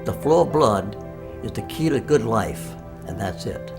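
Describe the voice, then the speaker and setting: A man's voice: medium pitch, lively intonation, a little slow, gravelly. A man in his nineties giving an upbeat, emphatic interview testimonial as a patient. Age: 90s. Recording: music playing behind the voice.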